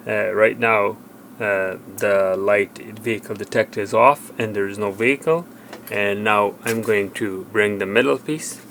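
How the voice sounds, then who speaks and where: Male tutorial presenter, lower register, halting and plain-spoken hands-on walkthrough, faint background noise. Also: fairly bright tone. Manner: halting, plain step-by-step explaining. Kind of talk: hands-on product demonstration, unscripted.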